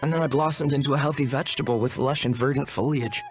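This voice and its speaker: A man's voice: mid-range voice, fairly even intonation, quick pace, close to an everyday voice. An actor playing an earnest, courteous and wordy young shaman apprentice in a video game, speaking in a formal, polite manner. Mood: earnest, proud. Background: music.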